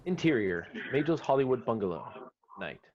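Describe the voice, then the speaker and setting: Guy reading out screenplay scene headings and action, mid pitch, slightly muffled lo-fi sound. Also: big pitch swings, normal speed, a little mellow, a little hiss or hum. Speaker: narrator reading stage directions. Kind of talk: scripted screenplay narration. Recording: slightly dull online call audio.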